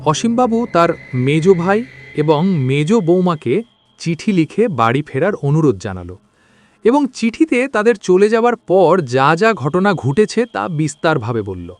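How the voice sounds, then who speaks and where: A man's voice: on the high side, big pitch swings, a little slow, no room sound. A man narrating a scripted horror story in a dramatic storytelling style.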